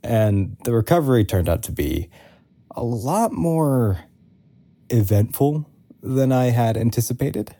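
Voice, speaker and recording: Mellow, weary wry storyteller. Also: male voice, mid-range voice, expressive, unhurried. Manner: hesitant, wry, confiding. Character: weary, wry young man recording an audio diary. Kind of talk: conversational first-person audio drama narration.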